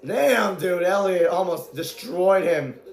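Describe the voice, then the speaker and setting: Excitable amateur male race caller, high voice, hoarse from shouting, echoey room. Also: takes it slowly. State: voice going hoarse from strain. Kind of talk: excited live play-by-play commentary.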